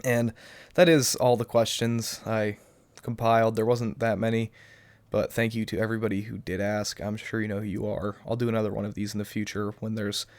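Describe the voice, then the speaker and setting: A man's voice: lowish voice, little pitch movement, fairly quick, no room sound. A young man answering viewer questions to his webcam, rambling and hesitant, his voice still a little off from the flu. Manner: rambling and hesitant, many restarts and ums. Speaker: gaming YouTuber. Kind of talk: casual Q&A talking to camera. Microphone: cheap webcam.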